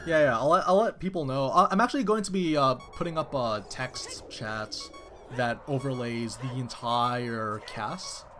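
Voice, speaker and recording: A man chatting casually to viewers on a live game stream, excitable and rambling, with many restarts. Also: medium pitch, measured pace, soft background music. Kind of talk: casual live-stream chat while playing.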